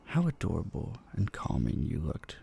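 A man playing an obsessive, possessive vampire captor in an intimate audio drama, hushed, teasing and dominant, menacingly sweet, dipping into whispers. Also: deep voice, huge pitch swings, normal speed, creaky, slight background noise, full character voice. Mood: teasing menace. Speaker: voice actor. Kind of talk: intimate roleplay audio drama, addressing the listener.

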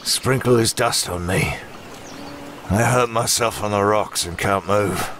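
Man as a low-voiced gruff wounded warrior. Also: measured pace, noisy background, close to an everyday voice. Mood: grudging. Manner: curt, gruff. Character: gruff, injured adult male warrior, curt and impatient.

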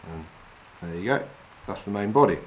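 A middle-aged man from England explains fly tying step by step in a calm, practical way. His voice sits fairly low. He was recorded indoors in a wooden lodge, with a faint hum of room ambience behind him. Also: fairly quick, rough recording. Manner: calm, practical, talks while working with his hands. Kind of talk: step-by-step demonstration.

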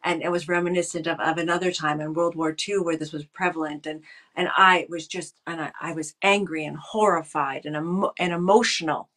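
Woman, fifties, political scientist guest, slightly low voice, thoughtful, restarts phrases, home webcam call. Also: steady tone, fairly quick, a bit bright. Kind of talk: casual video call interview. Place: home room with bookshelves. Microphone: video call webcam.

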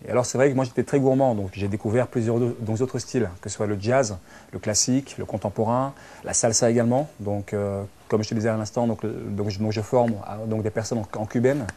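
A man's voice: lowish voice, fairly even intonation, a little fast, dark tone. A man in his early thirties from Belgium, speaking French with a Belgian accent, telling his own story conversationally in an interview and often restarting with filler words. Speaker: interviewee, dance teacher and social worker. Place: small furnished lounge indoors.

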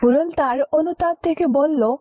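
A woman's voice: high-pitched, normal speed, warm tone, dry recording, clean studio sound. A woman narrating a scripted moral story for children as a storyteller.

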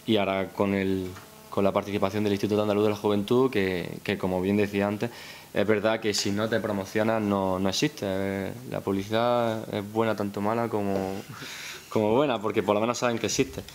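A young man from Spain with a Spain accent speaks at a press conference in a fairly low voice. He talks without a script, hesitating and repeating small words as he finds his way. A faint hiss sits under the recording. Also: level tone, a little fast. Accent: Spanish from Spain. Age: young adult. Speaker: film director. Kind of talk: unscripted press conference remarks. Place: indoor press conference room. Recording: hissy press-room recording.

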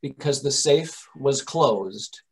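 A man playing a shrewd, composed English gentleman detective in old-time radio mystery style, formal and precise. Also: medium pitch, animated, takes it slowly. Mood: assured, explaining.

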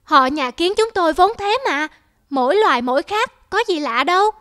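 A woman's voice: very high voice, lively intonation, normal speed, fairly bright tone, no echo, studio quality. A woman voicing a tiny, proud and defiant ant in a children's cartoon. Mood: plucky, calm.

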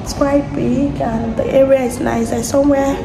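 A woman in her thirties with a hoarse, sore-throated voice, chatting to camera in a casual vlog and explaining each step as she goes. She talks into a phone held at arm's length. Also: high-pitched, steady tone, normal speed, over music. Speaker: vlogger. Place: hospital waiting area, indoors. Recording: echoey room, loud background ambience.

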